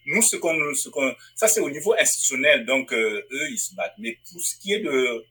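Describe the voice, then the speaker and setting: African guy, writer and publisher being interviewed, bright but a bit raspy, keeps restarting his sentences. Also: medium pitch, big pitch swings, fairly quick. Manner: thoughtful, restarts phrases while searching for words. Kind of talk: interview guest. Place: Northwest Territories.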